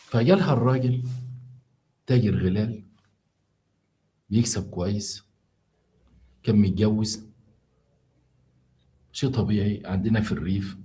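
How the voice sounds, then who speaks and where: A man with an Egyptian Arabic accent tells a story live to his viewers and sounds tired. His voice sits low and mellow. He keeps stopping to explain and often restarts mid-word. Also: moderate pace, long pauses. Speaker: live stream storyteller host. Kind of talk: casual live stream storytelling.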